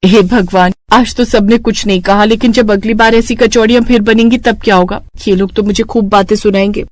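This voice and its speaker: A woman's voice: mid-range voice, fairly even intonation, fairly quick, a little mellow, no echo, close to an everyday voice. A woman voicing an anxious young cartoon bride, eager to please, given to fretful, dramatic self-talk. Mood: anxious, fretting.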